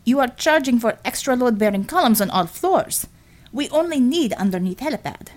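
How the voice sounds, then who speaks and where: A woman's voice: on the high side, expressive, moderate pace, voice pushed up, close to an everyday voice. Woman voicing a proud, lecturing Russian commissioner. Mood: brisk, controlled. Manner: clipped broken English, blunt and lecturing. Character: proud, sharp-tempered Russian woman commissioner, staunch communist.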